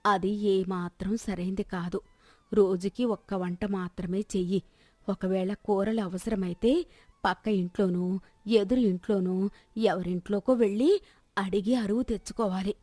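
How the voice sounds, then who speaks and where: A woman's voice: medium pitch, fairly even intonation, warm tone, no room sound, close to an everyday voice. Woman acting a stingy, preachy old grandmother. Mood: scolding, preachy. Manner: slow, scolding, lecturing.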